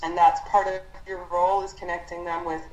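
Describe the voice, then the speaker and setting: A woman leading a team conference call as an instructor, explaining business matters in a halting, encouraging way as she thinks aloud. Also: fairly low pitch, little pitch movement, highs cut off. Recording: roomy conference call audio.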